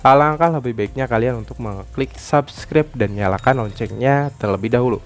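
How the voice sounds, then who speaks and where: A man presents a tech tutorial over background music. His voice sits at an average male pitch. He explains step by step, often closing phrases with a small tag word. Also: moderate pace. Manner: explanatory, often tags sentences with "ya". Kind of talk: screen-recorded app tutorial narration.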